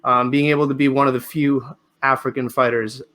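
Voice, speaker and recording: A man's voice: mid-range voice, level tone, a little fast, bright, forceful tone. A man originally from Spain hosting a casual interview, asking friendly, conversational questions. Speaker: podcast host.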